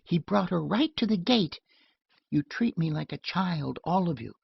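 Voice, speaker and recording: A man's voice: fairly high pitch, animated, measured pace, vocal fry, husky, no echo, lo-fi sound, voice pushed up, exaggerated voice. A man reading an audiobook voices an anxious, bitter young woman who speaks in defiant outbursts.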